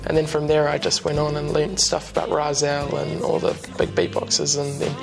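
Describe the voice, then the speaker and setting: A young man in his twenties with a New Zealand accent, chatting as a musician guest in a relaxed, rambling way with lots of ums and restarts. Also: a little high, moderate pace, gravelly, music in the background. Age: 20s. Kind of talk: casual interview chat. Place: TV studio couch.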